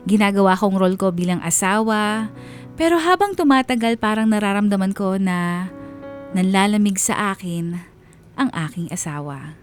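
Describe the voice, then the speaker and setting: Woman acting a wistful wife over soft music. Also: mid-range voice, animated, normal speed, natural voice. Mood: wistful, troubled. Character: devoted, wistful wife of thirty-four confessing her story. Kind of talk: dramatized first-person letter narration. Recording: music bed behind the voice.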